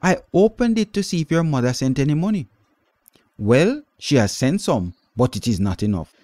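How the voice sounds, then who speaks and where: A man voicing a mean, scolding middle-aged aunt with a sharp, grudging manner in a storybook reading. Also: mid-range voice, very animated, normal speed, no room sound, voice pushed up, natural voice.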